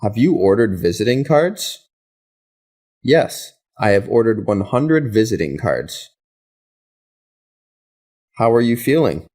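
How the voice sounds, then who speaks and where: A man with a fairly low voice reads English practice questions and their answers. He speaks very slowly and deliberately, spacing each line out for learners. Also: big pitch swings, a little mellow. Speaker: English lesson reader.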